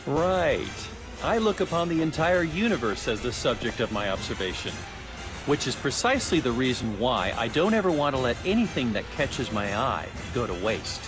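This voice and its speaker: A man acting as a knowing scientist from a video game talks in a mid-pitched, very animated voice. He is wry and inquisitive, needling with theatrical relish. Music is clearly heard behind him. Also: moderate pace, natural voice. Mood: knowing, sly. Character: sly, inquisitive male scientist, teasing and knowing. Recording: background music under the voice.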